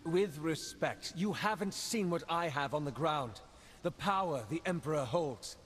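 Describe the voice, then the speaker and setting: Earnest agent, long reverb. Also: male voice, fairly high pitch, expressive, measured pace, a bit bright. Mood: worried. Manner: earnest, serious. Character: earnest, troubled male intelligence agent. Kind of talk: video game cutscene acting. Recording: long reverb, music underneath.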